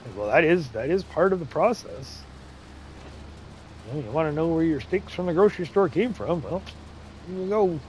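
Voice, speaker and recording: A man's voice: a little high, lively intonation, normal speed, pauses often, slight background noise, poor audio. A man hosting a casual farming game livestream, chatting and laughing often as he reads chat aloud. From English, Irish, Scottish, Ukrainian and Romanian heritage. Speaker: livestream host.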